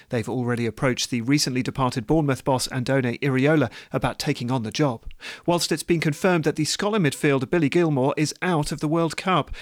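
Brief: male sports newsreader, brisk scripted bulletin, middle pitch, dry studio sound. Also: dry recording. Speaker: sports news reader. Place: radio studio.

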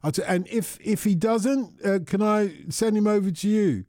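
A man's voice: high voice, moderate pace, a little mellow, dry recording, clean studio sound. A man hosting a radio phone-in, chatting casually and thinking aloud with restarts. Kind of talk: casual radio chat with callers.

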